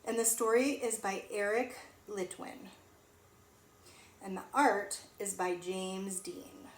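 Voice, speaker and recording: A woman teacher reading a storybook aloud to young children in an animated, sing-song way, pausing to ask them questions. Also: mid-range voice. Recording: echoey room with faint noise.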